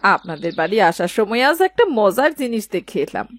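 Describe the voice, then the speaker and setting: A woman's voice: mid-range voice, expressive, brisk, a bit bright, no room sound, lightly acted. A woman playing a chatty, inquisitive village aunt in a cartoon, talking in a familiar, gossipy way. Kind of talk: dramatised cartoon dialogue.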